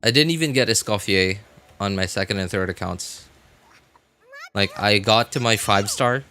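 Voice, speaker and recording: A man's voice: lowish voice, moderate pace, bright, forceful tone. Guy streamer chatting with his viewers, kinda hesitant, repeats himself, music in the background. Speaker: game livestreamer. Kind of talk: casual livestream chat, reading and answering viewer comments.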